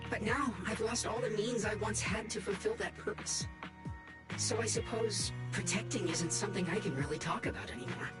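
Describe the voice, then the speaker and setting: A woman's voice: medium pitch, normal speed, close to an everyday voice. A woman voicing a small, worn-out old combat robot for a video game, formal, earnest and resigned. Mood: melancholy, resigned. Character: battered old obsolete combat robot, small, melancholy and dutiful. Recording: music behind the voice.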